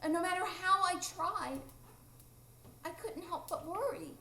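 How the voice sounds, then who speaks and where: A woman's voice: very high-pitched, unhurried, gravelly, pushed and bright, a little hiss or hum, full character voice. A woman playing an earnest, haunted boy adventurer in a live radio drama. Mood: sorrowful. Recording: echoey live theatre room.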